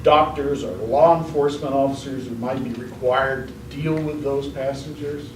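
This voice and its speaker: A man telling a tall tale as a speech contestant, in a measured, deadpan comic storytelling style. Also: mid-range voice. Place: indoor room at a speech contest. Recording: roomy, distant mic, faint noise.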